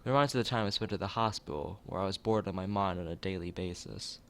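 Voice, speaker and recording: Male narrator for visual novel read-aloud: low, creaky voice, expressive delivery with the odd stumble. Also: fast talker. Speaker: let's player reading a visual novel aloud.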